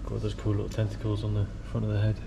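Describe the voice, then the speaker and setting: A man's voice: on the low side, completely flat delivery, rapid-fire, creaky, background noise. A man hosting a vlog, guiding viewers on a tour in a chatty, enthusiastic way, then narrating. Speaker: vlog host. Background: background music.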